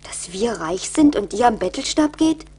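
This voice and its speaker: An actress playing a kind, generous young wife in a radio play, gentle and concerned. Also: female voice, a little high, very animated, moderate pace, husky. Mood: caring, concerned. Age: young adult.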